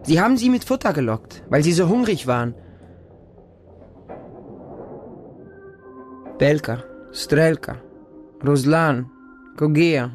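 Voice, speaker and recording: A man's voice: fairly high pitch, lively intonation, moderate pace, faint music behind, close to an everyday voice. Man as a distrustful, longing feral street boy. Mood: yearning. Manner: short, insistent, repetitive childlike sentences. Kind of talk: radio drama monologue, first-person narration.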